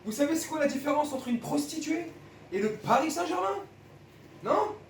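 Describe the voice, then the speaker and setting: A cheeky male caller phones in with silly jokes, as a man puts him on, his voice squeaking very high. He is teasing and pleased with his own puns. The sound is roomy and heard from some distance. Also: animated, moderate pace, slight background noise. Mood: jokey.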